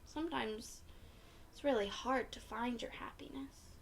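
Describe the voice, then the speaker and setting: A woman reading a picture book aloud to young children in an encouraging, expressive way, often putting questions to her listeners. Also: on the high side, unhurried, vocal fry, a little hiss or hum. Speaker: children's read-aloud storyteller.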